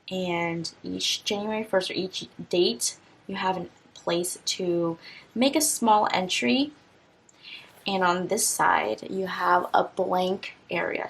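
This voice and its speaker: A woman in her twenties, a teacher, talking through a stationery unboxing in a relaxed, rambling way full of fillers and restarts. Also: fairly low pitch, creaky, breathy edge. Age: 20s. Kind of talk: casual unboxing vlog.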